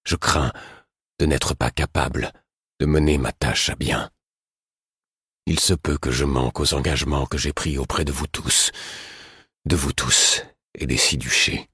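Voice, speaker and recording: A man's voice: very deep voice, steady tone, measured pace, pauses often, pushed and bright, slightly rough, no echo, studio quality, heavily acted. Man doing a weary, grave old king. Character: exhausted aging male king, solemn.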